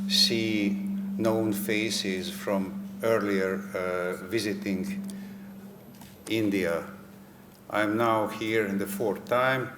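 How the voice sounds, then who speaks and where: Older Hungarian-accented male academic, slow and hesitant, lowish voice, echoey hall. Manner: slow and halting, many pauses and fillers. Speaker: invited speaker. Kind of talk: conference lecture opening. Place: conference hall. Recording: echoey hall with faint noise.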